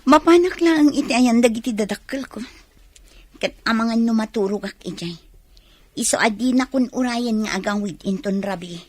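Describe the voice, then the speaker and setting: An actress playing an unhappy, defiant young wife in an Ilocano radio drama. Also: female voice, on the high side, normal speed, no echo, lightly acted. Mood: curt.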